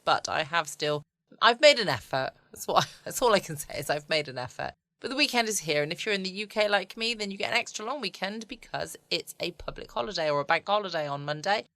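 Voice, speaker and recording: A woman's voice: on the low side, sharp tone, no echo. A woman with a southern English accent chatting casually as host of a bedtime podcast. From United Kingdom. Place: at home, close dry recording.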